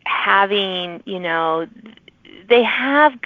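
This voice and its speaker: A woman's voice: medium pitch, lively intonation, extremely slow, no echo. Phone-like woman podcast host, chatty. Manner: chatty, reacting with interjections. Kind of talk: conversational author interview. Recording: narrow phone-like sound, dry.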